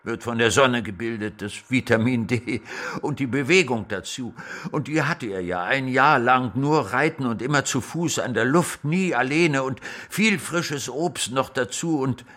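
A man acts a frail old art historian in a radio play, speaking very slowly in a forceful, bright voice. He is wistful and stubborn, halting, then insisting and repeating himself. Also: mid-range voice, lightly acted. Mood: eager, musing. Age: older. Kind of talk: radio drama acting.

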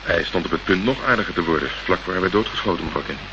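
A man's voice: low-pitched, very fast, natural voice. An actor playing a wry, probing investigator in a radio crime play, polite but pointed in his questions. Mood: probing, dry. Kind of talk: Dutch radio play acting. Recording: dull, noisy old radio play recording.

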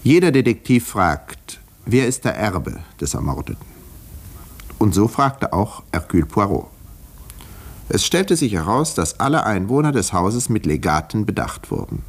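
Doctor-narrator for 1950s radio mystery: lowish, very animated intonation, dry, observant, ironic companion to a detective. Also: male voice, slightly rough, heavily acted. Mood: wry, measured. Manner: dry, ironic, measured storytelling. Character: male English country doctor, wry, observant detective's companion. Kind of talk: 1950s German radio play acting and narration.

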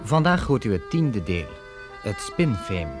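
A man's voice: lowish voice, very animated, measured pace. A man announcing a radio serial in a scripted style. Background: brass music.

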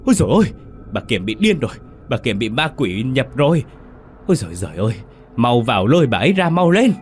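A man's voice: medium pitch, sing-song, fast, soft background music, voice pushed up, lightly acted. A man voicing a horrified villager, crying out in alarm in a horror story. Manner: shrieking, wailing.

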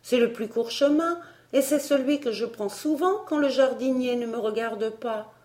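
A woman's voice: a little high, normal speed, a little mellow, voice pushed up, natural voice. A woman voicing a curious, sensible young girl in a storybook, with an earnest, reasoning manner.

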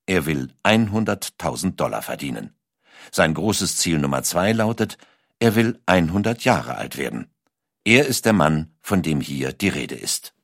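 Male narrator, very low pitch, lively intonation, scripted documentary storytelling. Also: unhurried, dry recording, clean studio sound. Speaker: audiobook narrator.

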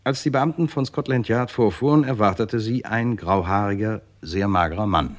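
A man's voice: fairly low pitch, normal speed, natural voice. A man reading scripted narration for a radio crime drama as a composed storyteller.

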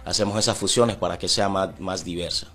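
A man's voice: low-pitched, brisk, a bit bright, soft background music. A man with a Colombian Pacific coast accent talking casually as an interview guest, often repeating words as he searches for the next one. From Timbiquí, Pacific coast of Colombia.

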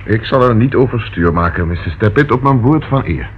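Actor as a low-voiced, reassuring police inspector. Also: male voice, expressive, lightly acted. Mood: gentle, earnest. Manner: reassuring, measured, firm. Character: calm, protective, dutiful male police inspector. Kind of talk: Dutch radio play acting. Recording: dull old radio recording with noise.

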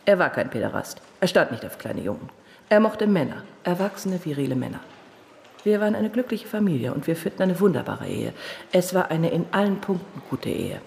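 Low, gravelly, guarded widowed lawyer. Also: female voice, very animated, a little fast, a little mellow, slight background noise. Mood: defiant. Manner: terse, defiant. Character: guarded, weary widowed female defence lawyer. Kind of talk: radio drama acting.

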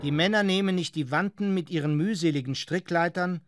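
A man's voice: a little high, brisk, loud music behind the voice. A man reading present-tense literary narration for a radio drama, close on a studio microphone.

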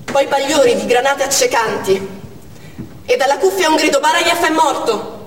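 An actress plays an anguished woman doctor delivering a dramatic theatre monologue. Her voice is high, intense and deliberate, breaking the account into short, urgent phrases. It rings with long reverb in a hall, picked up from a distance. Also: lightly acted. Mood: tense. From Armenia (the character). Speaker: stage actress. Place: indoor theatre stage. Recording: echoey theatre hall, distant mic, faint noise.

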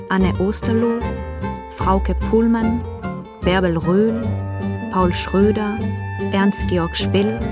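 A woman announcer reading scripted radio drama credits in a measured, neutral manner. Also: medium pitch.

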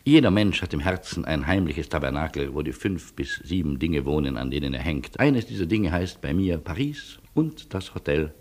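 An actor playing a wry, anxious middle-aged refugee in a radio play, reasoning with deliberate irony and old-world courtesy. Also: male voice, very deep voice, expressive, darkish tone. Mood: tender, solemn. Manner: deliberate, pointed, ironic reasoning. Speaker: lead character and narrator. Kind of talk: theatrical radio play acting and narration.

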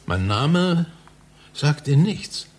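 An actor playing a pompous, irascible, vain scientist in a German radio play, with a husky voice and a condescending, exasperated manner. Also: male voice, fairly high pitch, very animated, takes it slowly, darkish tone, a little hiss or hum. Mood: offended, pompous.

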